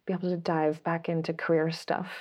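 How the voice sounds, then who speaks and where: A woman in her thirties talks as a podcast guest, her voice raspy and hoarse from a lingering cold. It sits a bit low, and she is chatty and open, laughing often. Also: level tone, brisk, a little mellow. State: hoarse, getting over a cold. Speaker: guest illustrator. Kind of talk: casual interview chat.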